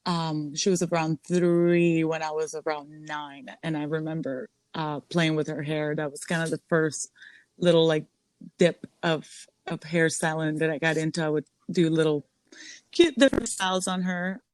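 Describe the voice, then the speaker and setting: A woman's voice: sits low, steady tone, moderate pace, a little mellow, no echo. Chatty young woman from Costa Rica. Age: 20s. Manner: chatty, many ums and restarts. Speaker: guest, salon owner. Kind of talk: casual interview.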